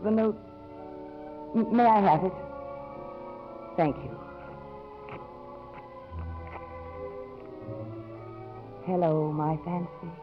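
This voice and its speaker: A woman's voice: on the low side, takes it slowly. Poised middle-aged woman, muffled old radio. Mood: tender. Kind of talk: 1950s radio drama acting. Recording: muffled old radio recording, faint music.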